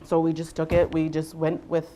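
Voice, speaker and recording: Low-voiced woman presenting without a mic. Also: completely flat delivery, normal speed, slight background noise. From Southwestern Ontario, Canada. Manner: loud voice, unamplified, frequent ums and uhs. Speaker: workshop facilitator, nurse navigator. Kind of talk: presentation to a workshop audience. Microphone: no microphone, speaking to a room.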